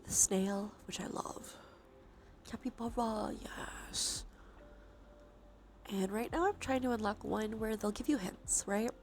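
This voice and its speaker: A woman streams cozy ASMR in a soft voice with audible vocal fry, often slipping into whispers. She sounds congested and chats in a gentle, soothing way. A faint layer of ambient noise sits behind her. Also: mid-range voice, takes it slowly, pauses often. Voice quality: breathy, often dropping into whispers. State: stuffy nose, congested. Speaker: livestreamer hosting an ASMR stream. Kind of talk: cozy ASMR, casual chat while gaming.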